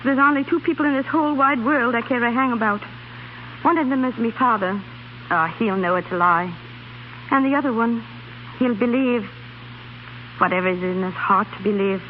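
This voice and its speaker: A high, spirited voice of a young Irish woman, played by an actress, blunt and loyal. She speaks in 1930s radio drama style, on a muffled recording with clear noise. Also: moderate pace, pauses often, lightly acted. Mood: resolute. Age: young adult.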